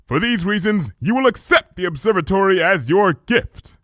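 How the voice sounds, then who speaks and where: A man's voice: sits high, lively intonation, moderate pace, bright, forceful tone, dry recording, clean studio sound, pitched above the performer's own voice. A man voicing a haughty pony princess in a storybook reading, declaiming grandly in archaic royal speech. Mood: regal, insistent. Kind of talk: storybook fan fiction character reading.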